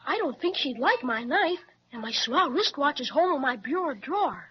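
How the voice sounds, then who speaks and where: A woman's voice: sits high, moderate pace. An eager gee-whiz boy. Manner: excitable, slangy. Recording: muffled 1930s radio transcription.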